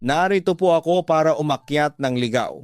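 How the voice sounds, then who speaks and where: A man's voice: a little high, normal speed, lightly acted. A man voicing an earnest, pleading young male suitor in a radio drama. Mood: determined. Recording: music bed under the voice.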